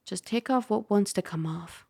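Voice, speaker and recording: A woman playing a caring, teasing dragon girl roommate in an ASMR roleplay, soft, chatty and gently instructive. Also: mid-range voice, normal speed, creaky, breathy edge, dry recording, clean studio sound. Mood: gentle, reassuring.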